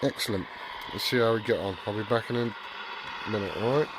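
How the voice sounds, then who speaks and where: A man's voice: fairly low pitch, normal speed, noisy background. A man giving a casual, sweary hands-on repair vlog, muttering to himself as he works. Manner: informal, sweary, muttering to himself while working. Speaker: hobbyist video host.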